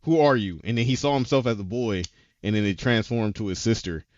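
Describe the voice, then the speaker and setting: A man's voice: lowish voice, expressive, brisk, darkish tone, no room sound, lo-fi sound. A man co-hosting a casual talk show, retelling scenes animatedly and acting out the characters' lines. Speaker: podcast co-host. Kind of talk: casual chat recapping anime episodes.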